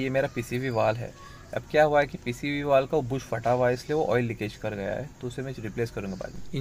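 A man walks viewers through car checks in a plain, explanatory tone, calling them friends. He speaks at a mid pitch, recorded on a handheld phone outdoors beside a car, with a faint hiss of open-air noise behind him. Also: a bit bright. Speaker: car vlogger. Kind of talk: how-to car maintenance walkthrough.

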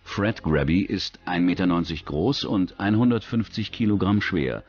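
A man's voice: low-pitched, lively intonation, normal speed, dull audio. A man reading a scripted true-crime documentary as its narrator.

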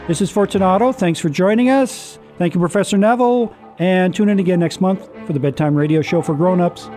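A man hosting a radio show, giving a halting, semi-scripted sign-off. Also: sits high, dark tone. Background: music.

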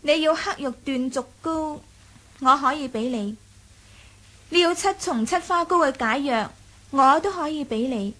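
High-voiced, sly young Cantonese noblewoman. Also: fairly bright tone. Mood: teasing, bargaining. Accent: Hong Kong Cantonese. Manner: playful, taunting, deliberate. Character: sly, teasing young noblewoman, self-assured. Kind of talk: radio drama acting.

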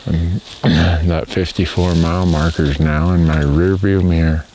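Deep-voiced guy in his 50s, slow easy talk, wry jokes, whispers when game's close, out in the snowy woods. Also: fairly even intonation, dark tone, a little hiss or hum. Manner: easygoing, wry, drops to a whisper near game. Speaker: hunting show host. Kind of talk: casual trail talk on a hunt. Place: snowy mountain forest outdoors.

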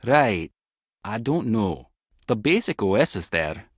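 A clever Scottish intelligence agent in an adventure game. His husky voice sits fairly low and swings freely in pitch. He is wry and tech-savvy, recorded close and dry. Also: big pitch swings, slow, no echo, heavily acted. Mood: annoyed, dry. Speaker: video game voice actor. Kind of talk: scripted adventure game dialogue.

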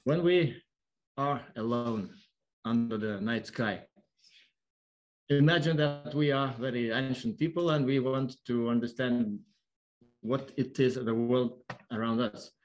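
A man with a Lithuanian accent gives an explanatory online lecture in halting, non-fluent English. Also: mid-range voice, poor audio. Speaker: observatory worker giving a lecture. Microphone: online video call.

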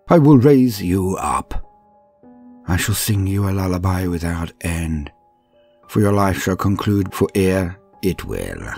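Man doing a sadistic alien torturer, male, deep, gravelly, husky whisper, menacing, slow. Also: darkish tone, heavily acted. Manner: slow, menacing, savoring each threat. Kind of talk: dramatic first-person villain monologue.

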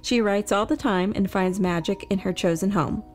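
Female podcast narrator, medium pitch, scripted storytelling delivery, faint music behind. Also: normal speed, darkish tone.

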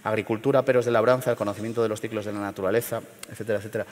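A middle-aged man from León in Spain, speaking at a panel forum in a measured, hesitant way with many fillers and restarts. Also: fairly low pitch, level tone, in a large hall. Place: large theater stage.

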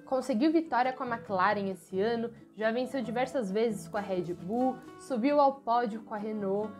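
A young Brazilian woman speaks in a fairly high voice, presenting motorsport commentary to camera. Her delivery is emphatic and expressive, recorded at home with music playing behind her. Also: normal speed. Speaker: motorsport channel host. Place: small furnished room at home, indoors.

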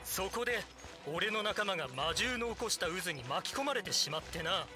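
Pompous, high-voiced boastful adventurer. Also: male voice, expressive, moderate pace, music in the background. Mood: grave storytelling. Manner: pompous, theatrical storytelling. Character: boastful middle-aged male legendary adventurer, pompous and grandiose. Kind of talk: Japanese video game voice acting.

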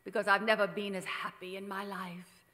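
A woman's voice: mid-range voice, level tone, normal speed, bright, forceful tone, lightly acted. A woman playing an imperious, needy, aging silent-film star in grandly theatrical radio drama style. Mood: excited, imperious. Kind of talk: old-time radio drama acting. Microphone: stand microphone on stage. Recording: live theater stage, long reverb.

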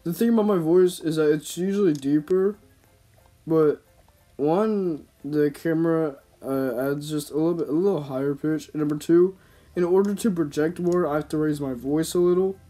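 A man's voice: a little high, steady tone, normal speed, dark tone, soft background music. A man giving casual, rambling gameplay commentary in slangy, informal speech, as a gaming YouTuber. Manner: slangy, informal, talking to himself and viewers.

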